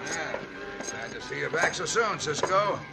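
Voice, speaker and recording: A man's voice: fairly high pitch, expressive, a little slow, creaky, over loud music. A man playing a shifty, bossy outlaw gang leader with an American accent in an old-time radio western, drawling and menacing.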